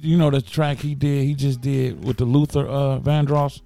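A man's voice: mid-range voice, fairly even intonation, normal speed, vocal fry, dark tone, faint music behind. A man with a Detroit accent co-hosting a casual podcast, chatty and prone to repeating himself. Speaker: podcast co-host. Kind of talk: casual chat.

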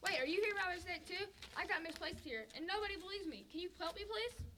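A woman's voice: very high-pitched, animated, fast talker, bright, forceful tone, full character voice. A young woman playing an eager, frantic girl trapped in an asylum in an amateur radio drama. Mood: anxious, pleading. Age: teenager. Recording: very noisy amateur recording with clicks.

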